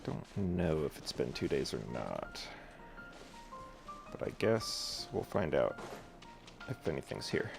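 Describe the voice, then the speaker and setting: Deep-voiced man giving animated gameplay commentary. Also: slow, gravelly, pauses often, music in the background. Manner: slangy, jokey asides to game characters. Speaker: video game streamer playing through a quest.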